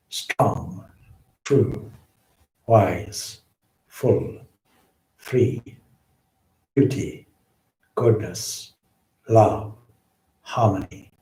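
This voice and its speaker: A man leading a guided meditation as a swami, with measured, solemn phrasing and frequent pauses. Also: on the low side, dark tone. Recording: roomy, echoing indoor sound.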